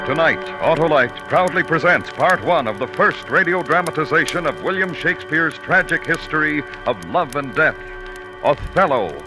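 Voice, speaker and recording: A man's voice: a little high, expressive, moderate pace. Grand male announcer over music. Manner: formal, grand, emphatic. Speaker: radio show announcer. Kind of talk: 1950s radio drama introduction.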